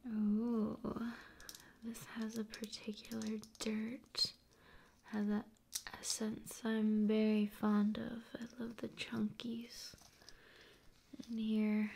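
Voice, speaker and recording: A woman hosting an ASMR video, talking in an unhurried, hushed, conversational way that moves between soft speech and whispering. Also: on the high side, completely flat delivery, gravelly, a little hiss or hum.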